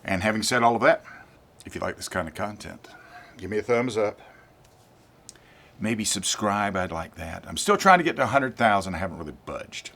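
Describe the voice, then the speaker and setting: An older man with a low-pitched voice hosts an informal gear review. He talks straight to his viewers in a deliberate, emphatic way, repeating words to drive a point home. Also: lively intonation, creaky, bright, forceful tone. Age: 50s or older. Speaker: product reviewer, channel host.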